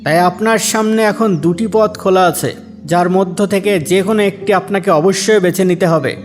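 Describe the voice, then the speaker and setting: A man playing a stern, fair Islamic court judge in an animated moral drama, with formal, declamatory delivery. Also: sits high, a little fast, lightly acted. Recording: faint music behind the voice.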